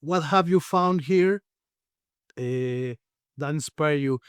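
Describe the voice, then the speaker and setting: A Mexican man, native in Spanish, interviews a guest in a conversational style. His voice sits a little high and is clear and resonant. The recording is dry, with little room sound. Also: slow pace, dry recording. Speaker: podcast host, interviewer.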